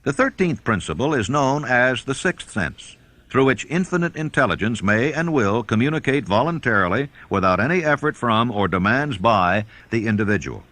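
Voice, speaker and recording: Man narrating with animated, varied pitch. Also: moderate pace, no echo. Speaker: audiobook narrator. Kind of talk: scripted audiobook narration.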